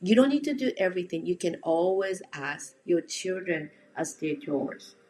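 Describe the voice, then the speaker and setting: A woman's voice: on the low side, expressive, moderate pace, mellow, soft background music. A woman from Japan with a Japanese accent, giving casual, direct instruction as a home organizing coach and speaking to the listener in an encouraging, plain-spoken way.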